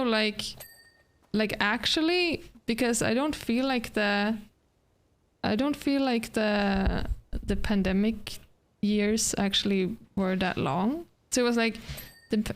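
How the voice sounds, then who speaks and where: A woman in her thirties chatting casually during a gaming stream, rambling with lots of "like" and frequent laughs. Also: fairly high pitch. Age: 30s. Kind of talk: casual chat while gaming.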